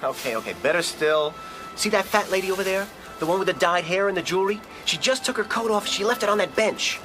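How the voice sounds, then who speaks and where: Actor playing a high-pitched, flustered young American man. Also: fast, close to an everyday voice. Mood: urgent, exasperated. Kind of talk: radio drama acting. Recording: music behind the voice.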